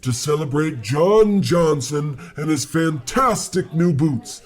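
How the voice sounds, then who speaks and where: Slow, pompous office boss acted by a man. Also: on the high side, lively intonation. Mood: grand, festive. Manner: bombastic, grandly theatrical delivery. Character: pompous, bossy government office boss, fickle and fawning. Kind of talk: comic old-time radio play acting.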